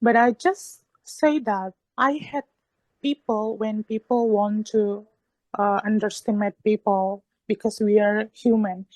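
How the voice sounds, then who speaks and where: A woman's voice: medium pitch, fairly even intonation, a little slow, a little mellow, no echo, poor audio. A woman from Indonesia with an Indonesian accent, a native Indonesian speaker, talking earnestly and encouragingly in an online discussion, often repeating her phrases. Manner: hesitant, repetitive phrasing in earnest, encouraging talk. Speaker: English learner in an online discussion group. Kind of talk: motivational discussion in an online meeting.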